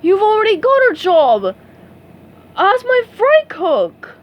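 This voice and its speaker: A greedy crab boss bellows orders in a loud, bossy voice, as a woman reads him aloud. He sounds lively and forceful. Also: high voice, fast talker, highs cut off. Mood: angry.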